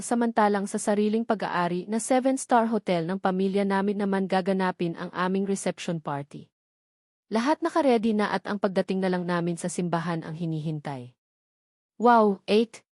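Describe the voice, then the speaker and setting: A woman with a Filipino accent narrates a romance novel in the first person. Her pitch sits in the middle range for a woman. The recording is dry, with very little room around the voice. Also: fairly quick, a little mellow, dry recording. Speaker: audiobook narrator.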